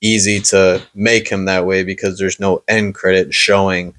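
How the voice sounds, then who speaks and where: Deep-voiced man in casual movie chat. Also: level tone, normal speed, fairly bright tone. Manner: rambling, hesitant with many fillers. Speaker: podcast host.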